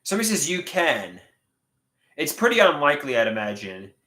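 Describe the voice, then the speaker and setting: Man, late thirties, stuffed nose, halting repeated words, lively pitch swings, echoey room. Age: 30s. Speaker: livestream host. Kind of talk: casual livestream chat reading viewer comments.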